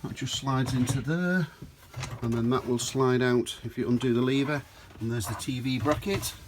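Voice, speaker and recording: A chatty man tours the inside of a motorhome with the camera in his own hand, calling his viewers folks. His pitch sits mid-range. The camera mic picks up plenty of noise, with rain starting outside. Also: moderate pace. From United Kingdom. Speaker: motorhome dealer and reviewer. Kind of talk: walkaround video review. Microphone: handheld camera mic.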